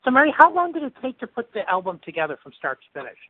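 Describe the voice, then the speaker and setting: A man's voice: extremely high pitch, wildly swooping pitch, rapid-fire, breathy edge, dry recording. A man hosting an interview, asking long rambling questions. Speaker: interviewer host. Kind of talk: phone interview. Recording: dry tinny phone line.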